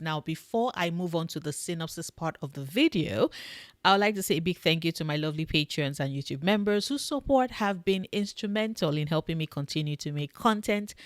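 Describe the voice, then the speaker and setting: A young woman reviewing a film on her channel, telling the plot with expressive, sarcastic asides and a loud, booming voice. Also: no room sound, clean studio sound. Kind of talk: scripted film recap.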